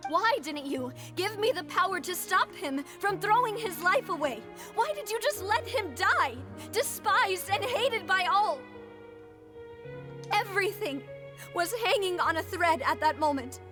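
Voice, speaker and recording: A woman's voice: extremely high pitch, animated, unhurried, over music. An actress playing a proud, wounded young noblewoman in a video game, articulate and dramatic. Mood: anguished. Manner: articulate, dramatic, emotionally direct. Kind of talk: English video game voice acting.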